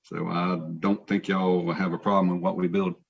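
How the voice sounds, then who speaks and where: A man speaks plainly and politely to a hearing, with the occasional filler. His voice sits low, and the sound comes through dull and lo-fi. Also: flat delivery, moderate pace, gravelly. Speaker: applicant, builder. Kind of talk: remarks at a public hearing.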